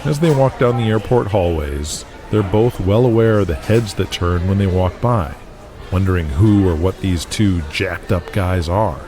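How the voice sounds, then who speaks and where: A low-pitched man delivers scripted dramatic narration for an audio drama. His deep voice sits over clearly audible background music. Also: normal speed.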